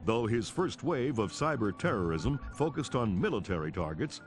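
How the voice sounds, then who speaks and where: A man's voice: mid-range voice, big pitch swings, normal speed, faint music behind, close to an everyday voice. A man playing a serious, urgent television news anchor, reading formally and measuredly in a video game cutscene. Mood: grave. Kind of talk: scripted news bulletin in a game.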